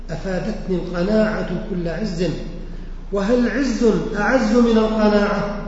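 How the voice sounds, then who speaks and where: A man teaching a religious lesson who recites verses slowly, repeats them and explains their words with questions to his listeners. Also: high-pitched, slight background noise. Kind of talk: lesson explaining poetry and sayings. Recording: echoey hall, muffled lo-fi recording.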